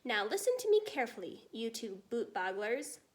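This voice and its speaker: Woman voicing a gentle, word-muddling giant, lively high tones. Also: moderate pace. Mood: calm, chiding. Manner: muddled grammar and invented nonsense words. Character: gentle friendly giant with muddled homespun words.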